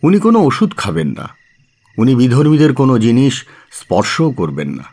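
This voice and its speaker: A learned monk physician in a historical radio drama. His voice sits at a middling pitch but moves widely, formal and earnest, with a grave weight to each phrase. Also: huge pitch swings, moderate pace, darkish tone, husky, heavily acted. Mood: explanatory, concerned. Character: grave Buddhist monk physician facing a deadly threat. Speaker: actor in an audio story. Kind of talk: dramatic radio play dialogue in period setting.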